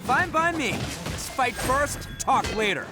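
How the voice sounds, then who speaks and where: A man's voice: very high voice, big pitch swings, a little slow, full character voice. Cocky young male oni, swooping delivery, slangy, boastful, game music behind. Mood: cocky, fired up. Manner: swaggering, slangy. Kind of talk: video game voice acting.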